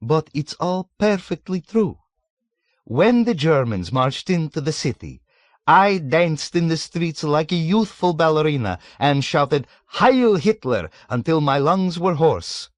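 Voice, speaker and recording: A man voicing a cynical, suave 107-year-old man who mocks with relish, pitched above his own voice. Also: big pitch swings, measured pace, no echo, clean studio sound. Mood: scoffing. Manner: suave, mocking, scoffing.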